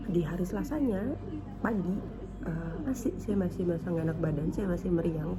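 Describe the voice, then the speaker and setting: A woman's voice: sits low, noisy background. A woman tells a personal story in a casual, conversational vlog style, often repeating and rephrasing herself. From Indonesia. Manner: conversational, repeats and rephrases, ends phrases with "gitu". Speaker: beginner vlogger. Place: hospital isolation room. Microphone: handheld camera, later set down in front of her.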